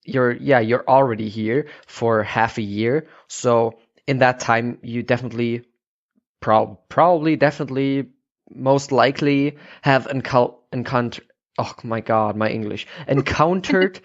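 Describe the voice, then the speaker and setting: Halting male interviewer, slightly dull sound. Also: mid-range voice. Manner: halting, searching for words. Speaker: podcast host, interviewer. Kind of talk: casual interview.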